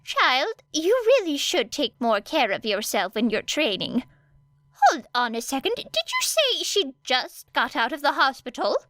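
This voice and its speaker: A woman voicing a fussy, scowling old lady nurse with a sharp, exasperated manner in an audiobook. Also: high-pitched, huge pitch swings, unhurried, no echo, pitched above the performer's own voice, heavily acted. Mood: fussy concern. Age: older. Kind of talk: audiobook character acting.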